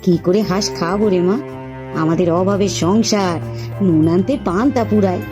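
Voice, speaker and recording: A woman's voice: mid-range voice, moderate pace, over music, close to an everyday voice. A woman voicing an anxious, poor village mother in a folk-tale cartoon, plaintive and complaining.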